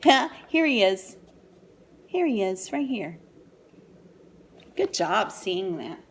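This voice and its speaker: A woman reading a picture book aloud to young children in an animated, sing-song way, pausing for asides and questions. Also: medium pitch, slight background noise.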